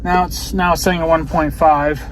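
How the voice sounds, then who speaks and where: An American man with a fairly high voice rambles casually to his viewers in a resigned, grumbling way. His talk is loose and unscripted. There is some steady vehicle noise around him. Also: normal speed, low-quality recording. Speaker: vlogger.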